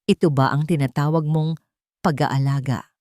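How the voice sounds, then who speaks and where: A woman storyteller acts out a stern older matriarch in a dramatic story. She is haughty, formal and controlling. Her low voice is recorded dry. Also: steady tone, normal speed, warm tone, no echo, close to an everyday voice. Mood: angry, accusing. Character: stern, cold, aristocratic older grandmother, controlling and reproachful.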